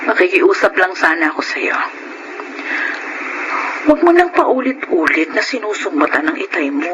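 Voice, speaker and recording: A woman's voice: fairly low pitch, sing-song, moderate pace, dull audio, background noise. An actress playing a long-suffering, stern middle-aged mother in a Filipino radio drama, with a Filipino accent. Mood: pleading. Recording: loud music behind radio drama.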